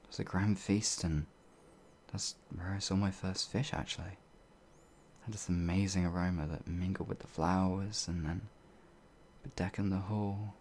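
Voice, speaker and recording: An actor playing a bewildered, humble werewolf outlaw in an ASMR fantasy roleplay, with halting, old-fashioned speech and a husky voice. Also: low voice, steady tone, a little mellow, a little hiss or hum. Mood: earnest reminiscing. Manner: halting, flustered, pleading, old-fashioned wording.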